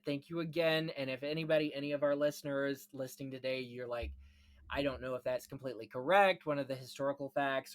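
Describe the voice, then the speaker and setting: Guy podcast host chatting casually about old films, gushing, midrange voice. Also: medium pitch. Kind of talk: casual film-history chat.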